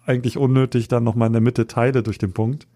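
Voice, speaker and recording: A man's voice: mid-range voice, little pitch movement, fast talker, dark tone. A man in his thirties from Hamburg, a guest on a podcast, talking casually and hesitantly with many fillers. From Hamburg, Germany. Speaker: podcast guest, street photographer. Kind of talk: casual interview chat.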